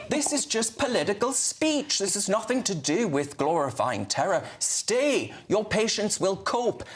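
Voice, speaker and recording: A man's voice: on the high side, a little hiss or hum. A man presenting a sarcastic satirical segment on TV, theatrical and mocking, laughing at his own jokes. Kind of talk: sarcastic comic monologue with mock letters.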